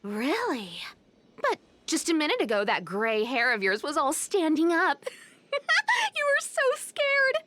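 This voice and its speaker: Female trickster demigod for anime game: teasing, unhurried, highly animated, music underneath. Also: heavily acted. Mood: mischievous teasing. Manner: playful, teasing, drawn-out delivery. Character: sly, teasing young female trickster demigod and treasure hunter. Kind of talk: anime-style game voice acting. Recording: music behind the voice.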